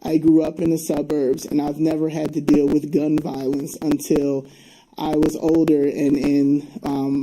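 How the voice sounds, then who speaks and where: A woman's voice: low-pitched, mellow, slight background noise, poor audio. A woman from Albany, New York, speaking earnestly and emphatically as an interview guest. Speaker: interview guest, community advocate.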